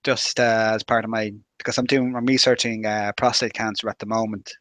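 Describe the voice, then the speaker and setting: A man's voice: on the low side, flat delivery, brisk, a bit bright, no echo. Dry-sounding man in casual chat. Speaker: podcast co-host.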